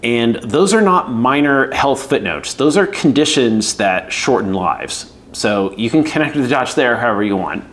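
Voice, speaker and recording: A man's voice: mid-range voice, lively intonation, normal speed, few pauses, fairly bright tone. A middle-aged man from the United States, an air traffic controller giving casual, candid career advice with lots of fillers. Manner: conversational, acts out quoted voices, frequent fillers. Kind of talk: casual explainer video.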